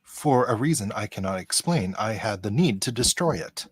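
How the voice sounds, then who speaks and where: A man's voice: lowish voice, animated, normal speed, husky, dry recording. A man playing a polite, precise android officer in a radio play, speaking formally. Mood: matter-of-fact.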